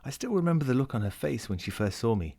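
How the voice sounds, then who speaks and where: A man's voice: medium pitch, sing-song, fast talker, vocal fry, mellow. A man voicing a lonely, wry lost ring as he reads a first-person short story in a dramatic, melancholic way. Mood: wistful. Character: lonely, world-weary, wry sentient ring lost at sea.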